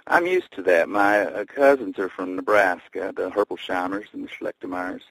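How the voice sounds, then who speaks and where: A man with a Southern Tennessee accent talks in a gravelly, husky voice, wandering through memories in reflective, rambling stories. His voice sits fairly low, and the studio sound is close and dry with no room around it. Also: normal speed, no room sound, rough recording. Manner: rambling, reflective storytelling with soft chuckles. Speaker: musician guest. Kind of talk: radio interview reminiscing.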